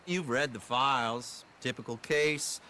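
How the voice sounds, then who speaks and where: An actor playing a smug, self-righteous Pinkerton detective in a Western video game, formal and pompous, with menace in his deliberate emphasis. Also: mid-range voice, pushed and bright, a little hiss or hum.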